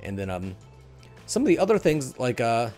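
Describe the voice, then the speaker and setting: A man's voice: mid-range voice, very animated, normal speed, darkish tone. A middle-aged man co-hosting a casual gaming discussion, speaking into a headset at home. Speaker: podcast host. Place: small room at home, on a group call. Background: music.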